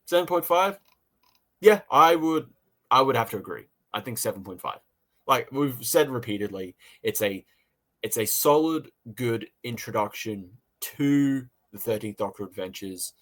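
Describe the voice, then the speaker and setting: A man from Australia co-hosting a casual review chat, talking in a halting way and often repeating words. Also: mid-range voice, animated, a bit bright. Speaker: podcast co-host and reviewer.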